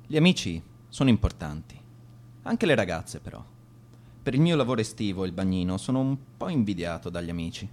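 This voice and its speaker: A man's voice: low voice, big pitch swings, brisk, darkish tone. A young man acting in a radio drama as a cocky teenage lifeguard, boastful, curt and defensive. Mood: boastful, reflective. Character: cocky teenage lifeguard boy, defensive, hiding his fear.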